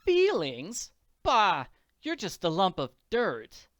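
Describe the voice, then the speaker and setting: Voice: medium pitch, expressive, a little slow, fairly bright tone, clean tone, no room sound, clean studio sound, pitched above the performer's own voice. A performer voicing a haughty, melodramatic wife from a comic strip, nagging in indignant, overwrought exclamations. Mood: scornful. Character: shrill, scornful rich wife, nagging and melodramatic.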